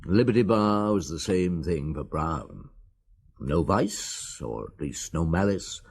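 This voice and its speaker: An actor playing a calm, probing police chief inspector in a radio drama, dry and measured in his questioning. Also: low-pitched, gravelly, slightly rough, exaggerated voice. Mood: reflective.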